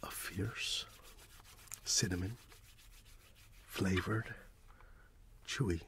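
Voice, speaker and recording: A middle-aged man softly whispering in an ASMR roleplay as a friendly, chatty shopkeeper, close to the microphone. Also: low voice, fairly even intonation, drawn-out words, noisy background. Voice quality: soft, mostly whispered. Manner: friendly, chatty, apologetic shopkeeper patter with repeated words. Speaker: ASMR roleplay performer playing a friendly candy shop owner.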